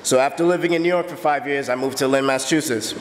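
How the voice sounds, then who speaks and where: A man in his early twenties from the Bahamas, earnestly telling his own story as a steady personal testimony. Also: mid-range voice, fast talker, big-room sound, a little hiss or hum, poor audio. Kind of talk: personal testimony, read aloud.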